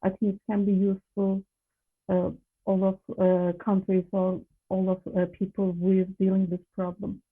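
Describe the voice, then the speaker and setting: Flat-voiced woman on tinny call. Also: medium pitch, normal speed, slightly rough. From Turkey. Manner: hesitant, many fillers. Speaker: volunteer graphic designer. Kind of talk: online webinar self-introduction. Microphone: video call. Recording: tinny phone-like call audio.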